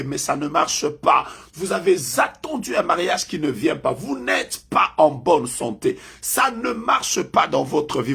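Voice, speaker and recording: French-speaking prophet guy on a live prayer stream, rough gravelly voice, keeps declaring stuff and jumping loud. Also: on the high side. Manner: emphatic, repetitive proclamations with sudden loud rises.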